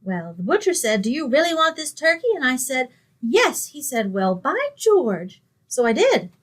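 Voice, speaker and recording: A woman's voice: sits high, sing-song, normal speed, soft background music. A woman playing a sweet, naive, scatterbrained young roommate in an old-time radio comedy, earnest and innocent. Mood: innocent, cheerful. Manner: earnest, innocent, dim-witted.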